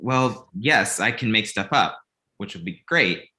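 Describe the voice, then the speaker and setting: A man's voice: on the low side, lively intonation, unhurried, bright, forceful tone, heavily acted. A man playing a glib, self-deprecating young filmmaker in a comedy table read, casual and wry.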